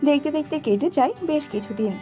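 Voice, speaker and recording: Female storyteller for cartoon fables, high-pitched, slightly dull recording over faint music. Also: lively intonation, brisk, warm tone. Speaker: narrator. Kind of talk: scripted children's story narration.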